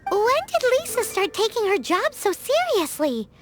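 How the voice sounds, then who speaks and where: A woman voicing a tiny, excitable fairy companion who talks about herself in third person. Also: very high-pitched, a little slow, full character voice. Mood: excited. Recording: game cutscene with music behind.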